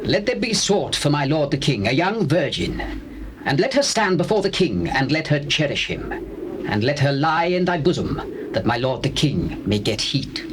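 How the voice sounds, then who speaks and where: A man's voice: on the high side, very animated, normal speed. An actor playing a solicitous servant of a king in a scripture drama, deferential and formal. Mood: earnest suggestion. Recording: noisy old cassette tape.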